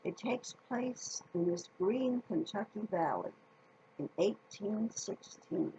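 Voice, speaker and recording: A woman reading a children's story aloud in a folksy, deliberate manner, speaking directly to her listeners. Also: mid-range voice, lively intonation, warm tone, dull audio, slight background noise.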